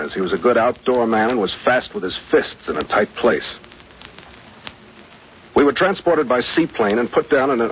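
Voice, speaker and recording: An actor playing a confident, level-headed adventurer captain in a 1940s radio drama. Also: male voice, medium pitch, close to an everyday voice. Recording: muffled, noisy old radio recording.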